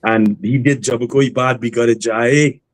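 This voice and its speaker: A man, a guest singer on a remote call, telling his story in a relaxed, rambling way with many fillers and restarts. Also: mid-range voice, unhurried, no echo. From Trinidad and Tobago. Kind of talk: casual interview storytelling.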